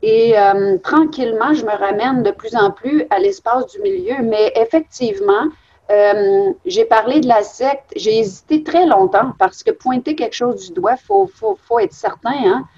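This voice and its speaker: Husky woman, muffled. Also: fairly high pitch, expressive, unhurried, a little mellow. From Belgium. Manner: reflective, explanatory. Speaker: guest author. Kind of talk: interview conversation.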